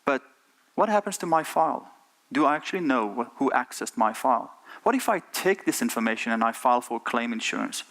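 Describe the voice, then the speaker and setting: A man's voice: mid-range voice, a bit bright. Israeli-accented man giving a keynote. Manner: earnest, asks the audience rhetorical questions. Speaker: conference speaker. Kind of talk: keynote talk. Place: conference stage.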